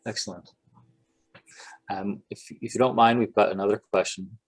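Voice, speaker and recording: Soft-spoken man moderating. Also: on the low side, steady tone, normal speed, breathy edge. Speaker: event moderator. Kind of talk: moderating an online Q&A.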